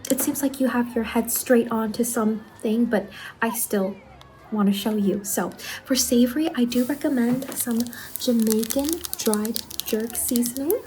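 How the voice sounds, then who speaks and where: A woman playing an eager, apologetic young saleswoman in an ASMR roleplay, speaking softly, politely and a little hesitantly. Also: on the high side, a little slow, slight background noise, lightly acted, poor audio. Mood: gently recommending. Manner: soft-spoken, hesitant, polite customer-service talk. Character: Angeline, eager apologetic young saleswoman at macabre pumpkin stall.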